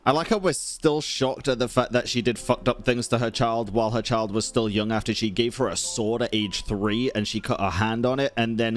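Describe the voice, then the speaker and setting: A man giving casual, sardonic, sweary reaction commentary as a game streamer. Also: mid-range voice.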